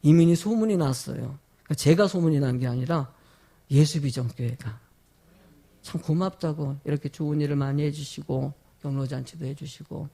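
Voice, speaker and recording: A male pastor delivers a sermon in a high-pitched voice with a heavy gravelly creak, strained by a cold. He leans hard on key phrases, stretching them out, and keeps turning to his congregation with rhetorical questions. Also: mellow. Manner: emphatic, with drawn-out stressed phrases. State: sore throat from a cold.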